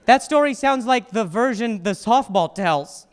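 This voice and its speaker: A stand-up comedian in his thirties speaks with a noticeable stutter. His voice sits high, and he grows animated and exasperated as he works the room. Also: unhurried, clean tone. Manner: animated, exasperated, escalating outbursts. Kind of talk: stand-up comedy and video narration.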